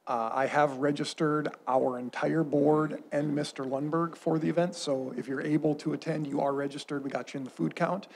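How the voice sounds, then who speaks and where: A man giving a formal report at a school board meeting, measured and full of uh fillers, on a desk microphone in a boardroom. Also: on the high side, gravelly, slight background noise. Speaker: school superintendent. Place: boardroom at a long table.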